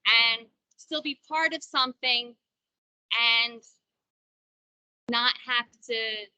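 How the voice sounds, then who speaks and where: A woman in a business association, explaining ideas informally and haltingly in a meeting discussion. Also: fairly high pitch, pushed and bright, smooth, no room sound, muffled recording.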